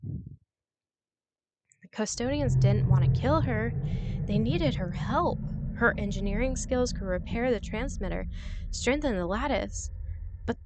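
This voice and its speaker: A woman's voice: fairly high pitch, expressive, takes it slowly, pauses often, heavy background noise. A woman reading a scripted horror story as its narrator.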